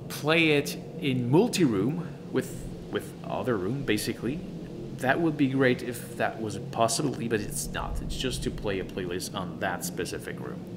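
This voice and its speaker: A man who is a gives a casual product review in an enthusiastic, emphatic, halting way, often repeating words. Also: mid-range voice, background noise.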